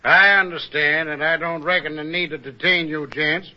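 A man's voice: a little high, steady tone, moderate pace, natural voice. An actor as a stubborn backwoods father, lo-fi radio. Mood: polite refusal. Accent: rural American drawl. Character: polite but stubborn backwoods father of seven. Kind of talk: old-time radio western acting. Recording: dull lo-fi old radio recording.

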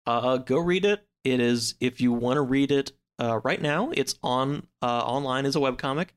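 Man chatting casually, halting, medium pitch. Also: clean tone. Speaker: podcast guest. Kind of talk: casual book discussion.

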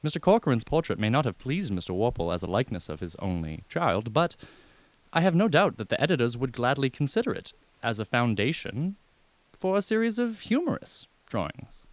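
A man's voice: medium pitch, big pitch swings, no room sound, close to an everyday voice. A man playing a deferential, unflappable valet who speaks formally and at length in a comic radio drama. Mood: discreetly persuasive. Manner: formal, measured, circumlocutory.

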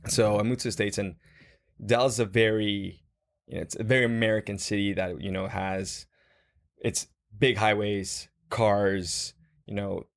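A man's voice: on the low side, steady tone, normal speed, gravelly. A young man from Guatemala talking casually in a group conversation, reflective, with many fillers and restarts.

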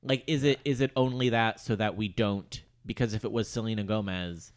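A man hosting a podcast, chatting casually about pop culture and telling things in an animated, rambling way. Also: on the low side, brisk, smooth. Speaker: podcast host.

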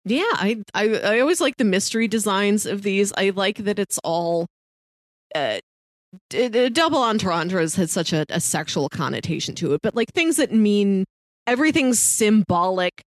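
A woman's voice: mid-range voice, expressive, normal speed, no echo, studio quality. A woman co-hosting a casual film discussion, thinking aloud in looping phrases. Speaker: podcast co-host discussing films.

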